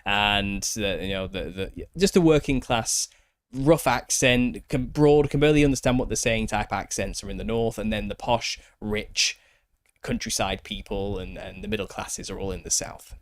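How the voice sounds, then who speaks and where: A man's voice: fairly low pitch, normal speed, no room sound. Chatty Northern English man on a podcast. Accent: Northern English, Lancashire. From northwest England. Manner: chatty, rambling, self-deprecating, laughs often. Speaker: podcast host. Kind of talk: casual chat.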